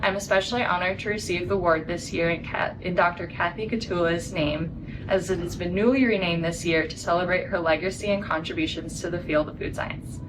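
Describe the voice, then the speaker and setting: A woman's voice: lowish voice, steady tone, a little fast. A woman giving a prepared acceptance speech as an award recipient. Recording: distant mic, clearly noisy room tone.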